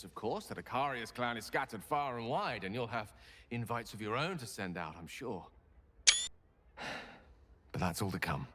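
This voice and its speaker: An actor playing a tender, brilliant wizard in a video game, speaking in an eloquent, breathy, intimate and hesitant way. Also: male voice, lowish voice, fairly bright tone. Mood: relieved, happy. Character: brilliant, tender, wordy male wizard in love.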